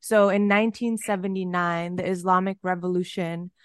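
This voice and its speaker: A woman's voice: fairly low pitch, steady tone, moderate pace, smooth, no echo. A woman hosting an interview in a casual, chatty way, with many filler words. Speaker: host.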